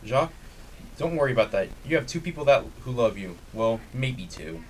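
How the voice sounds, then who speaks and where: A man's voice: mid-range voice, expressive, normal speed, lightly acted. A man playing an easygoing, conciliatory sidekick in an amateur audio comedy. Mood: friendly. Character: easygoing, bored male sidekick and peacemaker. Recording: distant mic, faint background hiss.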